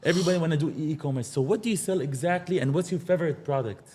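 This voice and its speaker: A man's voice: fairly high pitch, rapid-fire, darkish tone, a little hiss or hum. A man hosting a casual podcast interview. He speaks eagerly, gives short encouraging replies, and his grammar is non-native. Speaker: podcast host.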